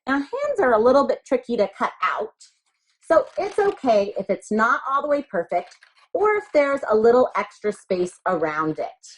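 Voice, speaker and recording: Female primary teacher, very lively intonation, guiding little kids through a craft in simple, encouraging, repetitive language. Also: fairly high pitch, normal speed, rough recording. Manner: encouraging, simple words, repeats instructions. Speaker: elementary school teacher. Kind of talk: step-by-step craft instruction for young children.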